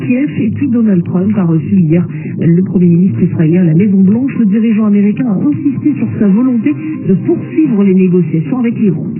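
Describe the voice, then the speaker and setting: High-pitched man presenting radio news, tinny shortwave. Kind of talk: live morning news bulletin with headlines. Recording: tinny narrow-band shortwave radio, music behind.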